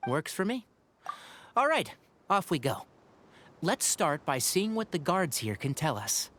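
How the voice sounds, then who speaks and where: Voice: medium pitch, sing-song, measured pace, fairly bright tone, a little hiss or hum. An actor playing a friendly, plucky young traveller hero in a video game, with an upbeat, direct way of talking. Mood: upbeat, ready.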